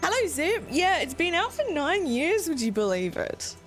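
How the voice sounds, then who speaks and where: A woman's voice: extremely high pitch, sing-song, moderate pace, fairly bright tone, soft background music, voice pushed up, full character voice. A woman putting on a broad Australian accent to play a crude, swearing bogan full of slang. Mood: mocking, rowdy. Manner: swearing, slangy, over the top.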